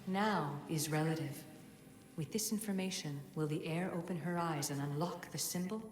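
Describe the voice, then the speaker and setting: Woman as ancient oracle-like spirit, low-set female voice in long reverb, solemn and commanding, formal video game delivery. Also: darkish tone, lightly acted. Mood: solemn, cryptic. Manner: oracular, measured, formal. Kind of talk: dramatic game cutscene acting. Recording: echoing long reverb, music behind.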